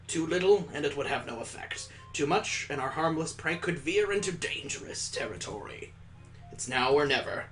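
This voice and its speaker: A man's voice: fairly high pitch, fairly bright tone. A man reading visual novel text aloud as a gameplay host, with theatrical, mock-epic narration over music. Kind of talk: dramatic storybook-like narration of game text. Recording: music behind the voice.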